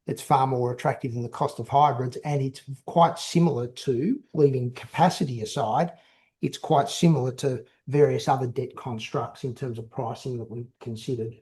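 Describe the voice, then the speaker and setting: A man speaks as a company executive on an investor call. His voice is mellow and low in edge, at a middling pitch. He explains things in measured, halting phrases, often repeating a word before moving on. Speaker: company executive answering analyst questions. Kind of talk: investor call question and answer.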